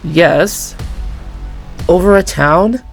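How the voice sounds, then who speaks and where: Actress as a nervous young male gamer: low, halting, full of fillers. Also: soft background music. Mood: flustered. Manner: hesitant, stammering with fillers. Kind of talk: audio drama acting.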